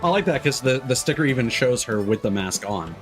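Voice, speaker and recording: A man's voice: medium pitch, expressive, a little fast. A man streaming a video game, giving casual commentary in a rambling, explanatory way that halts mid-thought. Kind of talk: casual live gameplay commentary. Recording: game music behind the voice. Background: video game music.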